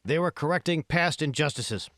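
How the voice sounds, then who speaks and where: A man's voice: a little high, moderate pace, fairly bright tone, no echo, studio quality, voice pushed up, lightly acted. A man voicing a self-assured, smug billionaire in an audiobook, explaining things and pressing his point. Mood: smooth, self-assured. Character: self-assured male tech billionaire, smug patron of art. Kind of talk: audiobook dialogue.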